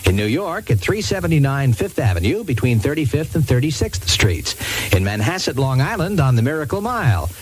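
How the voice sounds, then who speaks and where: A man's voice: medium pitch, big pitch swings, a little fast, slight background noise, rough recording. An American man working as a radio DJ, delivering 1980s music radio patter and ad reads on an old aircheck.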